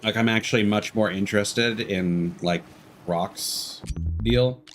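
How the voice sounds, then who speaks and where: A man's voice: fairly low pitch, slow, a little hiss or hum. A man livestreaming a video game with casual commentary, rambling and analytical as he gives his opinions. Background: video game music.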